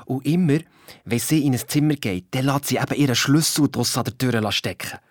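An actor playing an excitable young boy and amateur detective with a Swiss German accent, telling his story colloquially in a children's radio play. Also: mid-range voice, a little fast, no room sound, studio quality, lightly acted. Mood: excited, secretive. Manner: colloquial, eager, defensive when challenged. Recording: music behind the voice.

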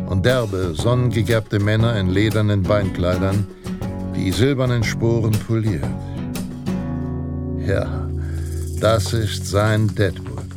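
An actor playing a solemn narrator of a pulp Western radio play, deliberate and lofty in delivery. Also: male voice, fairly low pitch. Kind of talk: dramatic Western storybook narration. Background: prominent plucked guitar music.

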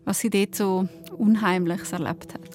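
Mid-pitched woman co-hosting a podcast. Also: fairly even intonation, moderate pace, darkish tone, faint music behind. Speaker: podcast co-host and producer. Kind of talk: light scripted podcast introduction.

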